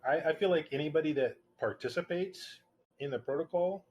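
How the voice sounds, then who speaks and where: A man's voice: fairly high pitch, brisk, a little hiss or hum. A man at a governance workshop, talking at length in a rambling way, with restarts and fillers. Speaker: workshop participant. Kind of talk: casual panel discussion.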